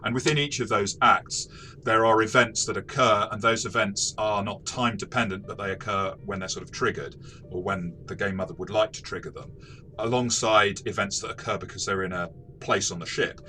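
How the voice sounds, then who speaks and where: A man's voice: fairly low pitch, steady tone, a little fast, pushed and bright. A man talking through a tabletop game review as a game master, in a casual, reflective, explanatory way. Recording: faint background noise.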